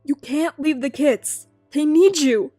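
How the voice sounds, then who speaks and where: A woman reading aloud and voicing a young male warrior cat, desperate and pleading, then shouting in grief. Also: sits high, slow, dry recording, faint music behind. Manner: pleading then yelling in anguish.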